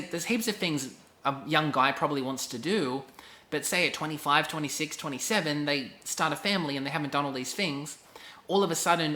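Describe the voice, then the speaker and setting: A man's voice: medium pitch, sharp tone. A young man, giving a casual, conversational how-to talk and repeating phrases for emphasis. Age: 20s. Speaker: lifestyle vlogger. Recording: echoey room.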